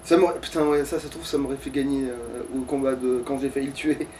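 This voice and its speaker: A man's voice: mid-range voice, steady tone, fast talker, dark tone, slight background noise. A man giving casual, sweary, grumbling gaming commentary to a co-player. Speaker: player on a gaming let's play. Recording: distant mic, game music behind. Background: game music.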